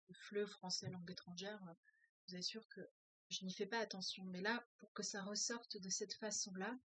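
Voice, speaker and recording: Female workshop leader at a mid pitch, reads passages and then muses out loud with lots of pauses and filler sounds; close, dry sound. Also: dry recording. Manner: hesitant, many filler sounds, thinks aloud. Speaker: French as a foreign language teacher. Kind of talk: reading a novel aloud with commentary.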